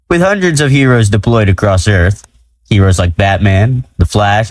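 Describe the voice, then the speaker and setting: A man's voice: mid-range voice, no echo, natural voice. A man playing a cocky, self-important male superhero who explains things breezily and jokes, in an audio drama. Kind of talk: audio drama character acting.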